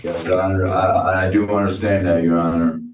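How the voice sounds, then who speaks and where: Man w stumbling thru his answers, lowish voice, echoey room. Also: little pitch movement, a little mellow, a little hiss or hum. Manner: hesitant, halting, many restarts and fillers. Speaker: defendant. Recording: roomy, distant and dull.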